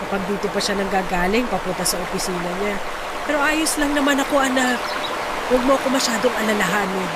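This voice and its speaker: A woman's voice: mid-range voice, heavy background noise, natural voice. A woman playing an independent, ailing middle-aged mother in a radio drama, matter-of-fact and reassuring. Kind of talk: Tagalog radio drama acting. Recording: loud music bed under the voice.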